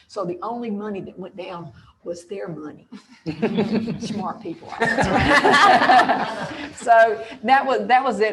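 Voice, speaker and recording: A woman in her sixties speaking candidly and emphatically on a panel about her career. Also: mid-range voice. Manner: emphatic and candid, laughs between points. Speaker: real estate agent on a panel. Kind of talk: panel talk, personal story.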